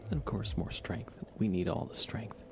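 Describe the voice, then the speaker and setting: A man softly talking to himself while livestreaming a video game in a hushed, casual ASMR style, hesitant and musing. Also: normal speed. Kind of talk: ASMR gaming commentary, hushed casual chat.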